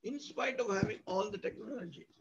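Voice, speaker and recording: A man with an Indian accent and a husky voice gives an academic lecture, explaining with examples in an emphatic, didactic way and piling up synonyms and lists. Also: high-pitched, a little fast, a little hiss or hum. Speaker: university lecturer. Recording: dull lo-fi audio.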